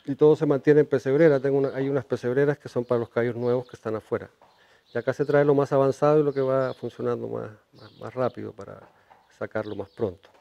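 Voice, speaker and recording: A Chilean man in his fifties, a horse trainer, telling stories in an outdoor ranch interview, trailing off softly and restarting his phrases. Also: medium pitch, creaky, highs cut off. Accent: Chilean Spanish with Mexican influence. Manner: warm storyteller, many restarts, trails off softly. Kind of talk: storytelling interview. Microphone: lapel.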